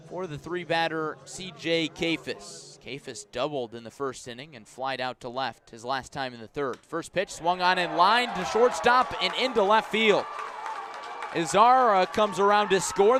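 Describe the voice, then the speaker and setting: A man with a fairly high voice gives live baseball play-by-play for radio. He describes the action in clipped, measured phrases and lifts his delivery when a play matters. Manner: measured description rising to excited calls on key plays. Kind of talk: live radio sports commentary.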